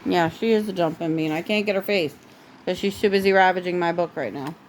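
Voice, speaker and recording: A woman's voice: fairly low pitch, a little fast. A woman reading a storybook aloud as a parent at home, with casual asides and the odd stumble over words. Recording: noisy home recording.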